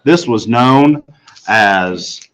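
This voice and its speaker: A man reads a scripted story aloud in the first person. His voice sits at a middle pitch, and he delivers formal wording with precision. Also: lively intonation, drawn-out words, fairly bright tone, slight background noise. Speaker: audiobook reader.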